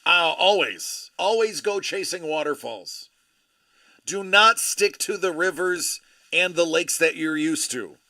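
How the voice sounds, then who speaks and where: A man hosts a live card break stream, chatting casually and telling rambling, joking stories. His voice is somewhat high and very bright, with a gravelly edge and lively swings in pitch. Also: slow pace, no echo. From Buffalo area, USA.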